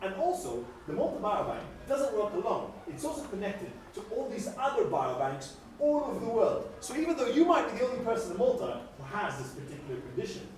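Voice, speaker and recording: Presenter, stammers, lively pitch; echoey hall, far mic. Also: fairly quick, few pauses, slight background noise. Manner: stammering, lively explaining to children. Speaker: puppet show presenter playing a doctor. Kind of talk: live educational puppet show. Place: large indoor hall.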